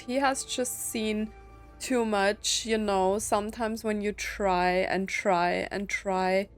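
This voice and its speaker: A woman giving casual reaction commentary as she plays through a story game. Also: medium pitch. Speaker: gaming streamer.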